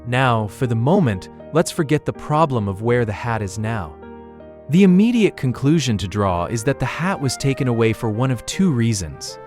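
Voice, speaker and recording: A man plays a bookish young sleuth in a mystery audio drama. He reasons calmly and pedantically, with lively swings of intonation in a middle-pitched voice. Music runs softly behind the close, dry recording. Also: natural voice. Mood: reasoning. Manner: pedantic, erudite, deliberate phrasing. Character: Ellery, bookish young male amateur detective, calm, analytical.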